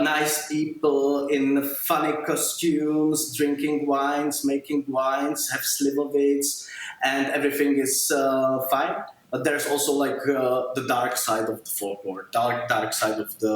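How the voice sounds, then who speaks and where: A man from Moravia with a Czech accent, giving interview answers in halting, non-native English as he searches for words. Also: mid-range voice. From Moravia, Czech Republic. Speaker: filmmaker being interviewed. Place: remote video call from a living room. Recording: very roomy, echoey video call.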